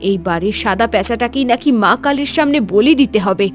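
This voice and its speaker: Woman as a scheming, high-voiced stepmother. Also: fast talker, soft background music, lightly acted. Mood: falsely concerned. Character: scheming, cold stepmother of a landlord's house. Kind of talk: Bengali animated story voice acting.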